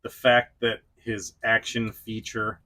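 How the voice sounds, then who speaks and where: A man's voice: on the low side, completely flat delivery, takes it slowly, bright, forceful tone, slight background noise. A middle-aged man hosting a casual review vlog, chatty and self-deprecating, talking straight to the viewer. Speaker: toy review channel host.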